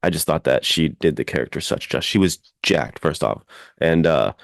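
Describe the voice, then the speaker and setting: A man's voice: extremely low pitch, lively intonation, normal speed, vocal fry, dry recording, studio quality. A man co-hosting a podcast in casual chat, informally and enthusiastically recommending things. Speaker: podcast co-host.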